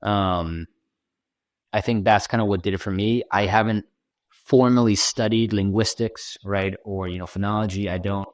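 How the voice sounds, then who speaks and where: A man with a low-set voice and a neutral American accent talks easily as an interview guest, leaning on "you know" and "right" as he goes. The recording is close and dry, with hardly any room sound. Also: moderate pace, no room sound. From Washington D.C., USA. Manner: relaxed, chatty, many fillers like "you know" and "right". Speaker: podcast guest, content creator. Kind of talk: casual interview conversation.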